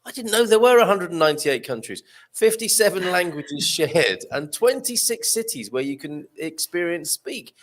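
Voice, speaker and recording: Lively, enthusiastic male host. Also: high-pitched, moderate pace. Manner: enthusiastic, praising, repeats words. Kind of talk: casual interview chat.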